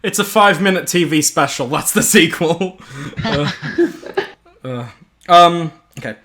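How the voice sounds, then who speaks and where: A man's voice: a little high, expressive, moderate pace, a bit bright. A man hosting a casual group story reading, giggly and playful as he narrates and banters.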